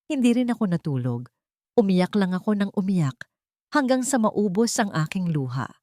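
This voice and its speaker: A woman's voice: lowish voice, darkish tone, no echo. Woman narrating a drama, dry close sound. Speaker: audio story narrator. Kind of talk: dramatic scripted first-person story narration.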